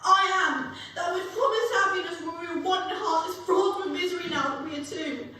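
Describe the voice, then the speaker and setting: Earnest, tender Victorian sweetheart voiced by a teen girl, bright and very high, formal stage delivery, echoing hall. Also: a little fast. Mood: sorrowful, resolute. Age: teens. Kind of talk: live dramatic stage reading. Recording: very echoey hall, distant mic, faint noise.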